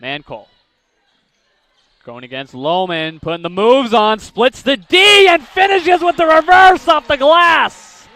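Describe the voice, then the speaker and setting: A young man calling basketball play-by-play, with rapid calls that climb sharply on big plays. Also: very high voice, lively intonation, pushed and bright, no echo. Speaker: play-by-play announcer. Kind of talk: live basketball play-by-play commentary.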